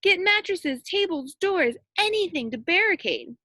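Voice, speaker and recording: An agitated villager from a children's folktale, acted by a woman reader. The voice leaps up high and exclaims with great urgency, close and dry. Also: sing-song, measured pace, sharp tone, no room sound, heavily acted. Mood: urgent. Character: agitated storybook townspeople and mayor, crying out in alarm.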